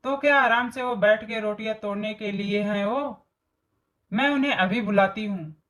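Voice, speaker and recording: A woman's voice: medium pitch, moderate pace, a bit bright, natural voice. A woman voicing a bossy, scheming young daughter-in-law in a storybook tale, scolding and commanding.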